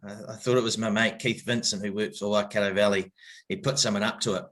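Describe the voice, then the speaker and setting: A man's voice: lowish voice, fairly even intonation, fast talker, fairly bright tone. A middle-aged man with a New Zealand accent, telling his story in a podcast interview, hesitant and full of fillers and restarts but open and reflective. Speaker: guest, cricket coach.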